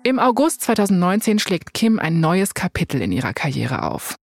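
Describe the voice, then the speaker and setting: A woman's voice: fairly low pitch, huge pitch swings, moderate pace, gravelly. Wry young woman narrating over music. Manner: colloquial, wry, sprinkles English slang into German. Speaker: podcast host. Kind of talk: scripted storytelling narration with casual asides. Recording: music bed behind the voice.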